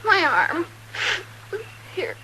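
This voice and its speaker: A woman's voice: very high voice, sing-song, drawn-out words, sharp tone, heavily acted, rough recording. A woman acting a frightened, wounded frontier woman in pain, pleading in 1950s radio western melodrama. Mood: distraught, pleading. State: in pain, distraught. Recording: hissy, humming 1950s radio recording.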